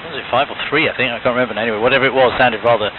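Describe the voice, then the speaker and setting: Hesitant ham operator through radio hiss. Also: male voice, mid-range voice, fairly even intonation, racing, phone-quality sound. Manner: hesitant, full of ums, frequent throat clearing. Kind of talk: casual on-air radio chat. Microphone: radio transceiver mic heard over the air. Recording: noisy, band-limited shortwave radio hiss.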